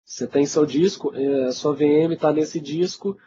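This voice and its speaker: A man's voice: fairly high pitch, unhurried. A Brazilian man with a cold, teaching IT and answering questions in an informal, conversational webinar style, often checking in with his listeners. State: congested with a cold. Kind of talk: casual live webinar Q&A. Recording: dull lo-fi webinar audio, loud noise.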